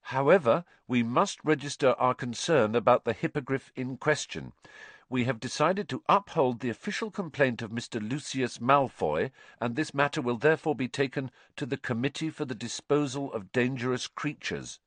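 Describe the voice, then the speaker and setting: Man as a schoolboy reading formally, pitched up. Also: moderate pace, fairly bright tone, no room sound, natural voice. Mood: formal, careful. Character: schoolboy reading a formal official letter aloud.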